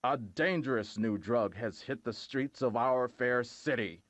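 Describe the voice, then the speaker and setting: A man playing a formal male television news anchor reading bulletins in a crisp, even delivery. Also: medium pitch, a little slow, lo-fi sound, heavy background noise, close to an everyday voice.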